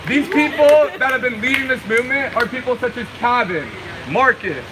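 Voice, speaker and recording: A man speaking loudly and emphatically to a protest crowd, adding jokes as he goes. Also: very high voice, animated, moderate pace. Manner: loud, joking, emphatic. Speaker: rally speaker. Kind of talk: addressing an outdoor protest crowd. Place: outdoor street rally. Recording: loud outdoor street noise.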